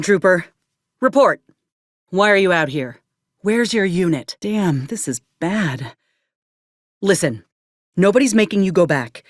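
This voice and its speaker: A woman's voice: lowish voice, dry recording, clean studio sound, natural voice. Woman playing a folksy Southern frontier woman, swinging intonation. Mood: urgent. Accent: Southern American. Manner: plainspoken, wry, folksy. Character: plainspoken, wry frontier woman, tough and observant companion. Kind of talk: video game companion dialogue.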